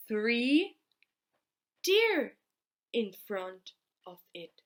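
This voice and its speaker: A woman with a fairly high voice tells a fairytale very slowly. She speaks deliberately, in short, halting groups of words with pauses between them. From Austria. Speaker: storyteller. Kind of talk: slow fairytale storytelling.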